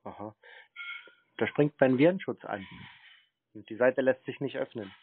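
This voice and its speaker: A man's voice: medium pitch, normal speed, breathy edge. A man in a casual phone conversation, patient and matter-of-fact, spelling things out. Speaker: prank caller posing as a customer to phone scammers. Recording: narrow tinny phone-like line.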